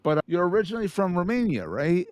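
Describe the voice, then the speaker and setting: A man's voice: sits high, sing-song, fast talker, dry recording. Chatty male podcast host, dry sound. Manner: upbeat, chatty, affirming. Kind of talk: casual interview chat.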